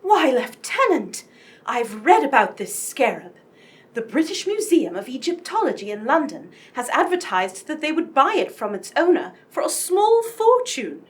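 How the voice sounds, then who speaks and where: An inquisitive, genteel lady in a radio drama, acted by a woman. Her voice is high and highly varied in melody, and she speaks with courteous, well-read precision. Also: sing-song, normal speed. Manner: polite, inquisitive. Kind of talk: radio play acting.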